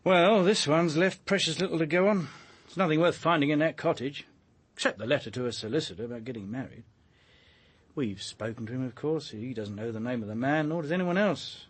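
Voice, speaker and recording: An actor playing a chatty, wry police detective in a naturalistic radio drama, colloquial and a little hesitant. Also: male voice, a little high, a little fast, slightly rough. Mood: wry, musing.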